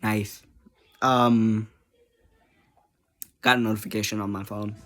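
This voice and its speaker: Medium-pitched voice, slow pace, casual rambling vlog chat, energetic, giggly, easily distracted, self-deprecating. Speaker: online video creator.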